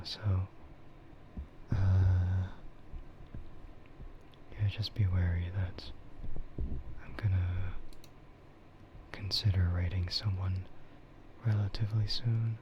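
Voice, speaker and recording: A low-pitched man streams casual ASMR chat in a soft, breathy whisper. He rambles slowly and hesitantly, close to the mic. The sound is a little dull and muffled. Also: little pitch movement, noisy background.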